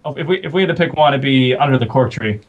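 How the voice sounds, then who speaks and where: A man's voice: medium pitch, fast, phone-quality sound. An American man from Florida, a rock band member chatting casually in an interview. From United States, Florida.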